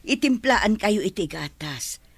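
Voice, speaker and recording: A woman's voice: medium pitch, animated, unhurried, breathy edge, dry recording. A woman playing a meek, dutiful and grateful young household servant in a radio drama, pleading and deferential. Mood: meek, reassuring.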